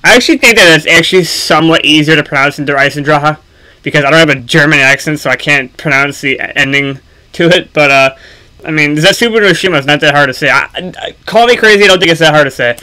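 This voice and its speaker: A man giving casual, unscripted gaming commentary for a video, speaking haltingly with frequent ums and pauses. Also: a little high, sharp tone, low-quality recording.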